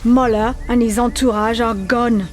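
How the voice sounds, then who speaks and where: Brisk, higher-voiced woman acting a Resistance fighter. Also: fairly even intonation, darkish tone, close to an everyday voice. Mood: serious, briefing. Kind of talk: video game cutscene acting. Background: music.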